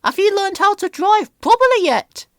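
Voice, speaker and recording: A woman acting a haughty old female lorry, storybook character, high-pitched, brusque, scolding, wildly swooping intonation. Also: very animated, normal speed, a bit bright, no echo, clean studio sound, exaggerated voice.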